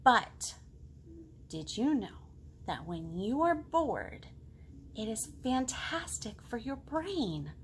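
A woman in her thirties talking to young children as a storytime librarian, expressive, with simple questions and pauses. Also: on the high side, a little hiss or hum. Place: home living room.

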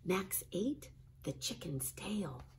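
A middle-aged woman, a kindergarten teacher, reading a picture book and chatting to children in an expressive style. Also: mid-range voice, takes it slowly, slight background noise. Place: small classroom, indoors.

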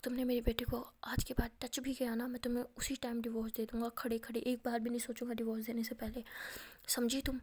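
A woman's voice: on the high side, flat delivery, fast talker, a little mellow, a little hiss or hum, natural voice. Woman whispering as an angry protective father. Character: stern protective father scolding his wife.

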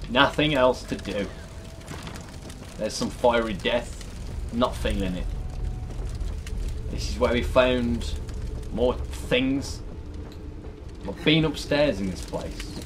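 A British man, around his thirties, giving casual, sweary, exasperated reaction commentary as he plays a horror game. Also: mid-range voice. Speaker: let's player. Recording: clear background noise and game ambience.

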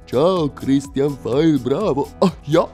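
A man voicing a goofy, good-natured cartoon dog giving a friendly shout-out. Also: a little high, takes it slowly, faint music behind.

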